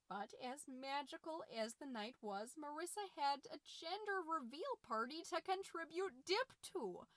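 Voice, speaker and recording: Woman playing a high-pitched, theatrical podcast mom. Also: no room sound. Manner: theatrical, folksy, alliterative, drawn-out emphasis. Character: chirpy, chatty, dramatic suburban mom hosting book-club podcast. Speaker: podcast host. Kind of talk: scripted comedic podcast monologue.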